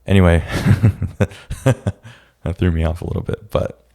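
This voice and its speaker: A man hosting a casual solo podcast, his voice a bit raspy today, rambling and often restarting his sentences. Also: deep voice, slow pace, dark tone. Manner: rambling, restarts often, speaks in scattered bursts. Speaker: podcast host. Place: room at home with a street-facing window.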